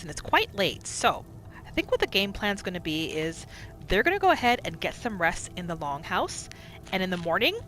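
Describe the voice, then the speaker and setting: A woman giving casual, chatty roleplay commentary as the host of a gaming channel, narrating in an expressive way. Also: mid-range voice. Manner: expressive, chatty, narrating characters' thoughts in third person.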